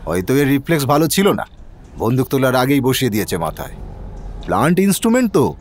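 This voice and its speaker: A man playing a brisk, sceptical police inspector in a detective audio drama, asking terse questions and giving orders. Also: mid-range voice, sing-song, a little hiss or hum. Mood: probing, sceptical.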